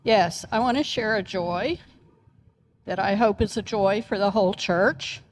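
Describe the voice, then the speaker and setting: A woman's voice: medium pitch, a little slow. A woman making a church announcement in an upbeat, businesslike way. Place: large church sanctuary. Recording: long hall reverb, loud background noise.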